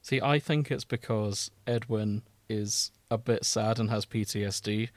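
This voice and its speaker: A man's voice: on the low side, measured pace, dry recording. A man chatting casually as a podcast co-host, informal and opinionated in a bantering way.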